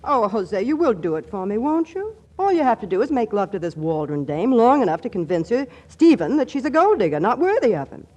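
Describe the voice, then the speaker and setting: Wisecracking, animated 1940s secretary. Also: female voice, on the high side, a little fast, hardly any pauses. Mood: coaxing. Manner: snappy, sardonic, persuasive. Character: brisk, wisecracking, lovelorn confidential secretary. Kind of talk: 1940s radio comedy acting.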